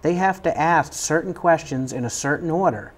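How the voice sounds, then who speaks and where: A man's voice: on the high side, normal speed. A man with an American accent explaining procedures in an unscripted interview, as a member of a fire department.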